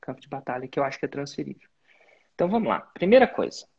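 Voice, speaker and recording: A man's voice: mid-range voice, sing-song, racing, vocal fry, darkish tone, husky, muffled recording. A man hosting a live coaching session, giving direct, instructive advice to students. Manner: direct, instructive, uses sports-belt metaphors. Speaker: business mentor and host.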